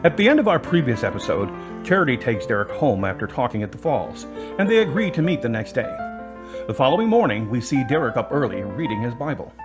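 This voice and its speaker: A man's voice: medium pitch, very animated, a little fast, music in the background. A man reading scripted narration for an audio drama.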